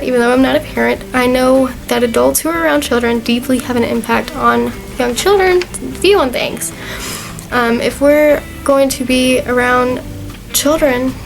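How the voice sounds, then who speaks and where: Slightly hoarse young woman, chatty. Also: high voice, poor audio. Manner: chatty and earnest, with frequent fillers. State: slightly hoarse, losing her voice. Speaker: vlogger. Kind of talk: casual talk to camera.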